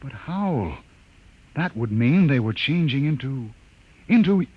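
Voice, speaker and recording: Animated, commanding spaceship captain. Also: male voice, medium pitch, measured pace, breathy edge. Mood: grave. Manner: commanding, urgent. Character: stern, urgent spaceship captain pleading with a madman. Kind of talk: 1950s radio acting. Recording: dull, hissy 1950s radio recording.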